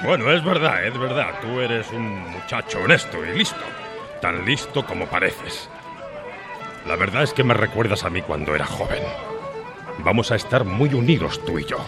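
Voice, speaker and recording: A man's voice: fairly low pitch, animated, moderate pace. An actor with a Castilian Spanish accent playing a wily, jovial, fatherly one-legged pirate in a radio drama. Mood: confiding, fatherly. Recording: radio drama with loud music and crowd.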